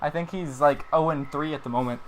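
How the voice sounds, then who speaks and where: A man's voice: fairly high pitch, fairly quick, a little hiss or hum, low-quality recording. A man giving casual, playful commentary on a wrestling game, often laughing. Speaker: gaming commentator. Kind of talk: casual let's-play commentary.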